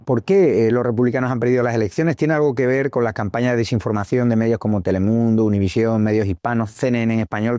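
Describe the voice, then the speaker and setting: A man's voice: mid-range voice, dark tone, no echo. Male political show host, mid-range voice, scornful and combative, delivering opinionated commentary in a very dry recording. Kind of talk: opinionated news commentary and interviewing.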